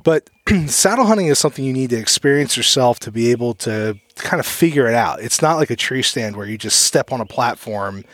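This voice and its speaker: A man in his mid-thirties talks into a studio microphone in a dry, close-sounding room. He jokes, laughs a lot and tells stories with animated energy. His pitch sits in a middle range. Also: a little fast, hardly any pauses, no echo. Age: 30s. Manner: joking, laughs often, animated storytelling. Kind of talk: casual podcast chat.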